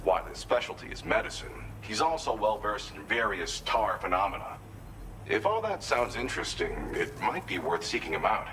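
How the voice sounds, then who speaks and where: A man playing an earnest, grateful researcher in a video game cutscene, explaining things in a measured, conscientious way. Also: fairly low pitch, sing-song, bright, forceful tone, a little hiss or hum, exaggerated voice, poor audio. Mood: advising. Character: earnest, grateful male researcher and weapons inventor briefing a courier.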